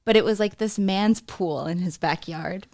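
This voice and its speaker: A woman's voice: lowish voice, normal speed, darkish tone, no room sound, studio quality. A young adult runner from Mexico, raised abroad, chatting in an interview, laughing often and quoting her own thoughts. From Mexico, raised in Argentina and France. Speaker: marathon runner guest. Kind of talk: casual interview conversation. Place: podcast studio.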